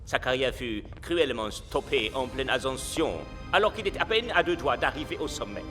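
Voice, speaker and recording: An actor playing a theatrical coach of a spooky castle stadium, telling things in a grave, drawn-out, ominous way in French cartoon dubbing. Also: male voice, mid-range voice, animated, pushed and bright, faint music behind.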